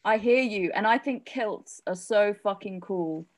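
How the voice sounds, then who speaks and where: A woman's voice: medium pitch, unhurried, no room sound. Chatty English woman, dry sound. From England. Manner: chatty and enthusiastic, with lots of fillers. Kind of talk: casual chat.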